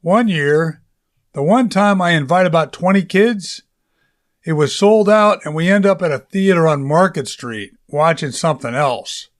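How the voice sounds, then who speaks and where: A man's voice: a little high, animated, unhurried. A man voicing a chatty, easygoing local man in an audiobook, speaking in a casual, colloquial manner.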